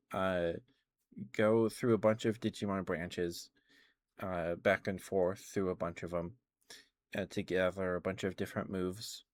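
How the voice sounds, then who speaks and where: Male ASMR streamer, low register, casual and rambling, hesitant delivery with frequent self-corrections. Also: no room sound. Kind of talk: casual ASMR chat about video games.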